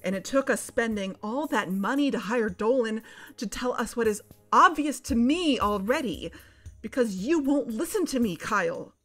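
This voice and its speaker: A woman's voice: fairly high pitch, animated, moderate pace, faint music behind. A woman playing a blunt, accusing investigator in an audio fiction podcast, with an emphatic, confrontational delivery. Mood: frustrated. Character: exasperated, blunt, accusing young female investigator.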